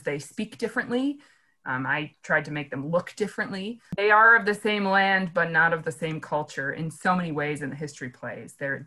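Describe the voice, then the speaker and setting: A woman directing a play reading, animated and gushing, laughing often. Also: low voice. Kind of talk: enthusiastic discussion.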